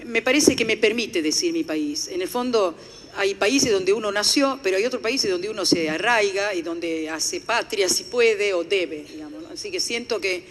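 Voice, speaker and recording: A woman's voice: mid-range voice, normal speed. Older Italian woman speaker, earnest lecture tone, echoing hall. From Italy. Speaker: conference speaker. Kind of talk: formal lecture. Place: conference hall. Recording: echoey hall.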